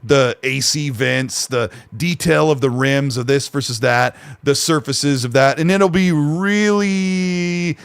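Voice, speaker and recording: A man's voice: mid-range voice, fairly even intonation, slow, vocal fry, few pauses, clear, resonant tone, dry recording. Dry-sounding chatty podcast guy. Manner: rambling, hesitant with fillers and restarts. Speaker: podcast co-host. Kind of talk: casual gaming podcast chat.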